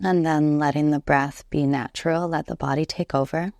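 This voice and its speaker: A woman guiding a relaxation meditation as a yoga nidra instructor, soothing and unhurried, leaving long pauses between gentle instructions. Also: low-pitched, steady tone, no echo.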